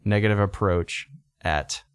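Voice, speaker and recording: A man's voice: lowish voice, measured pace, clear, resonant tone, no echo. A man in his late thirties, a guest on a podcast, chatting casually and joking, often laughing between lines. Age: 30s. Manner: jokey, laughs often, teasing. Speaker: podcast guest, band member. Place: podcast studio.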